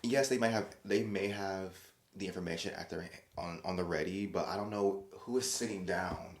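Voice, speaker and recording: Low-voiced man, chatty podcast ramble. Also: moderate pace, roomy sound. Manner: chatty, rambling, frequent restarts, animated quoted voices. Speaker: podcast host. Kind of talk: casual solo podcast commentary.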